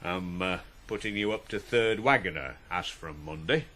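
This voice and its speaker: A brisk farm master in a radio play, his pitch leaping about animatedly. He gives orders and homilies in a commanding, sententious way, exasperated yet fatherly. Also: lively intonation, bright, forceful tone, a little hiss or hum. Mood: paternal, chiding. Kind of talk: 1980s radio drama acting.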